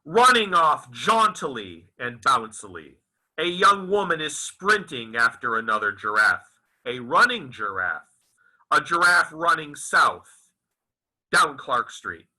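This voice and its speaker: A man reciting his own literary fiction as its author, in a theatrical, deliberate and emphatic manner. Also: fairly high pitch, big pitch swings, pushed and bright, low-quality recording. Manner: dramatic, deliberate, emphatic with repeated phrases. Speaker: author reading own novel aloud.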